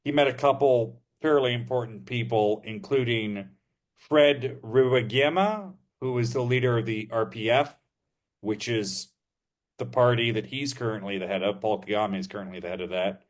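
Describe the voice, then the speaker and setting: A man co-hosting a podcast, explaining history in a measured, casual way, thinking aloud with frequent ums and uhs. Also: mid-range voice.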